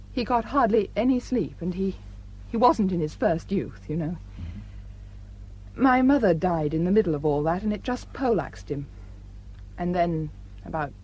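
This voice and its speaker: A woman acting a frank young village doctor with a quiet sadness in a radio drama. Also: lowish voice, slightly rough, poor audio.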